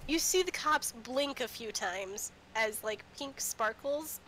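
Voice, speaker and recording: A woman narrates a tabletop roleplaying game as game master. Her voice is high and bright, with halting, improvised phrasing at an unhurried pace and faint noise behind her. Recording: noisy game stream.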